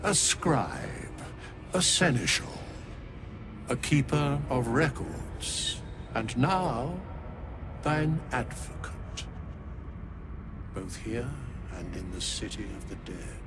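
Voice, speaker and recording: An actor playing an ancient, solemn undead scribe in a fantasy game, speaking archaic words in grave, formal pronouncements. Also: male voice, medium pitch, big pitch swings, slow, pauses often, background noise. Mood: solemn, commanding.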